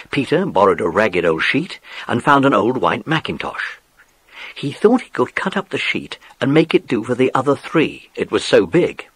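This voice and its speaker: A man's voice: medium pitch, a bit bright, breathy edge, dry recording. A man narrating a children's adventure story in a scripted, dramatic, suspenseful storytelling manner.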